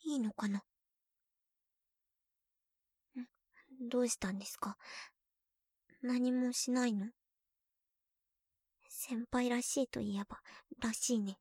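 A young woman playing a teasing, shy girlfriend who is junior to the listener, in an ASMR roleplay, talking playfully and dropping into soft whispers. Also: a little high, measured pace, long pauses, slightly rough, no echo, clean studio sound. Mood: whispering tender.

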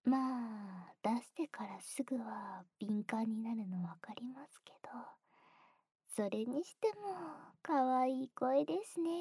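A woman playing a breathy, teasing young junior. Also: high-pitched, lively intonation, slow pace, mellow, dry recording, full character voice. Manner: teasing, giggly, close whispering. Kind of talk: whispered ASMR roleplay.

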